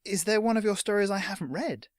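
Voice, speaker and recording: A man's voice: high voice, quick pace, no room sound, clean studio sound, voice pushed up, close to an everyday voice. A man voicing an eager but timid young boy who speaks hesitantly and politely.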